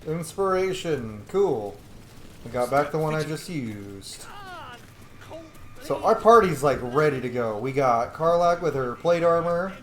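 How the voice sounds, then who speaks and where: A man's voice: fairly high pitch, expressive, a little slow. A man hosting a gameplay video with casual, rambling, sweary commentary and a sardonic edge. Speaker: video game streamer and host. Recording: roomy, faint background noise.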